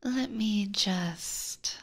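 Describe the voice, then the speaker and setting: A woman voicing a possessive, aristocratic vampire catgirl in a slow, seductive, teasing whisper for an ASMR roleplay, close on a binaural microphone. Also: mid-range voice. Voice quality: breathy whisper. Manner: slow, formal, coaxing.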